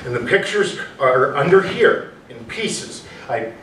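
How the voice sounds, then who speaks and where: A man's voice: a little high, very animated, a bit bright, full character voice. Reclusive male artist, acted by a man, childlike, fragmented delivery, stage reading, recorded distantly with room echo. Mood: flustered. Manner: short, halting, fragmented phrases. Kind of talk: staged play reading, theatrical acting. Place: small indoor performance room with audience. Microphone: far camera. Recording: echoey room, distant mic, faint noise.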